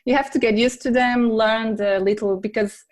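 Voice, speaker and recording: A woman's voice: fairly high pitch, fairly even intonation, moderate pace. A middle-aged woman from Portugal, answering questions as a guest in a conversational interview. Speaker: podcast guest, academic editor.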